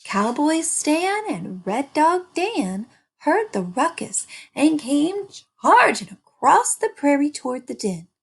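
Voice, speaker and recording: A woman reading a children's story aloud with animated, dramatic and deliberate phrasing. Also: high-pitched, gravelly. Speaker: storybook reader. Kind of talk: animated scripted children's narration.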